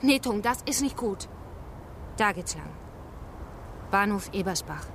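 A woman's voice: mid-range voice, animated, a little slow, gravelly. Blunt, impatient woman, music behind. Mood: exasperated. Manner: blunt, firm, clipped. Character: brusque, impatient woman helper pushing migrants onward. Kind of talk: radio drama dialogue.

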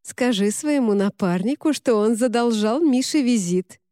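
A woman voicing a lively, mischievous older innkeeper with a melodious voice in a storybook reading. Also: on the high side, normal speed, no room sound, clean studio sound, pitched above the performer's own voice, lightly acted. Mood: teasing.

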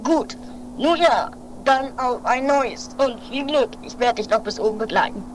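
High female voice, acted jittery filming sidekick, dramatic repetitive muttering, amateur radio play, noisy home-made eighties recording. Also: measured pace. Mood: bustling, solemn. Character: nervous, devoted filming companion of a daredevil tightrope walker.

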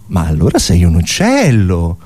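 Man playing a lilting, lowish-voiced hypnotist. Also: huge pitch swings, a little slow, a little mellow, slightly rough, heavily acted. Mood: soothing, probing. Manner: repeats answers, questions step by step. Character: probing, patronizing male hypnotist, soothing and insistent. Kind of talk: absurdist radio comedy sketch.

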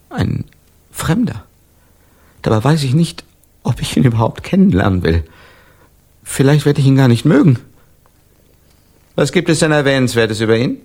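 An eccentric Parisian composer without a memory, in radio-play style. The voice is gravelly and rough, with hugely mobile intonation. He sounds haunted and theatrical, moving between hushed brooding and outbursts. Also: mid-range voice, full character voice. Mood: agitated, probing. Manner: theatrical, swinging from hushed musing to sudden outbursts. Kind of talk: German radio play acting.

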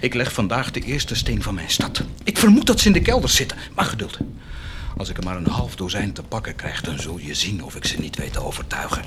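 A man's voice: lowish voice, very animated, fairly quick, heavy background noise. An actor playing a proud, fervent nobleman set on doing good, declaiming with theatrical intensity in a radio drama. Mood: irritated, determined. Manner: declamatory, impassioned, swinging to hushed intensity.

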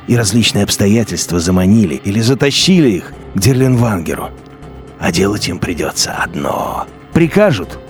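A man narrating a radio drama with tense, expressive emphasis. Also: medium pitch.

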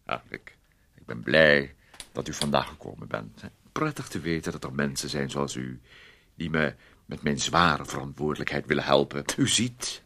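A man acting in a Dutch radio play as a smooth, condescending figure who needles and insinuates in an unctuous, mocking way. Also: very deep voice, exaggerated voice.

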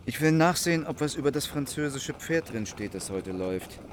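An actor playing a brusque, impatient, grumbling gambler in a radio play. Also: male voice, mid-range voice, lively intonation, fairly quick, slight background noise, natural voice. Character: brusque, impatient shifty male gambler hunting a racing tip. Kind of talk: literary radio play acting.

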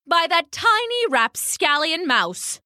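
Pompous male storybook dove, bossy and affronted, emphatic, forceful delivery played by a woman. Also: very high-pitched, big pitch swings, slow, no room sound, clean studio sound, voice pushed up, full character voice. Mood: shocked. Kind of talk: children's storybook character voice.

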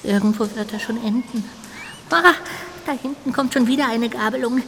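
Childlike little ghost in reverberant halls. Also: female voice, a little high, a bit bright. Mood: curious, determined. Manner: musing aloud to itself, drawn-out hesitations and exclamations. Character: little ghost, childlike, curious, cheerful and musing. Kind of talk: radio play acting. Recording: long hollow reverb, clear background noise.

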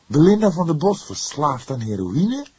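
A man voicing a younger male detective in an audiobook, excitable, emphatic and sceptical. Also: a little high, normal speed, breathy edge, pitched above the performer's own voice, heavily acted. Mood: astonished. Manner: incredulous, emphatic.

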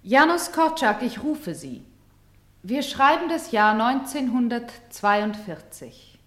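An actress playing a commanding play leader in a German radio drama, deliberate and insistent as she directs. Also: fairly high pitch, expressive, a bit bright. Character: commanding female play leader summoning people and places to speak.

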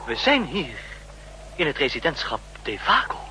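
An actor playing an alert, quick-witted fugitive spy in a 1960s radio play. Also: male voice, fairly high pitch, sing-song, bright, forceful tone, full character voice. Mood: alert, reasoning. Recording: noisy 1960s radio drama recording.

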